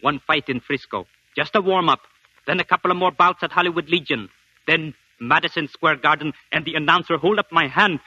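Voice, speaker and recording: An old, dull-sounding radio drama recording with an eager former prizefighter. His voice is high and piercingly bright, and he talks in simple, broken sentences full of hope. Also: brisk, no echo. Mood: dreamy, excited. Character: eager, punch-drunk former boxing champion, a hopeful barracks boy. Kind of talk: 1940s radio drama acting. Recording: dry, band-limited 1940s radio broadcast.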